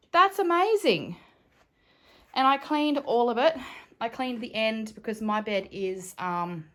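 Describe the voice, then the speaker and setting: Croaky Australian woman thinking aloud. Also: medium pitch. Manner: thinking aloud, chatty, self-correcting. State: croaky from allergies. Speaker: homestead vlogger. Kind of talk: casual DIY vlog chat. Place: home, indoors.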